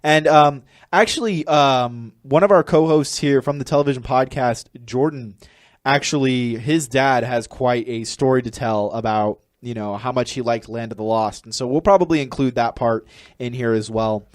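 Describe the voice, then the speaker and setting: Halting American man chatting on a podcast. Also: medium pitch, no echo, clean studio sound. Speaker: podcast co-host. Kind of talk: casual chat.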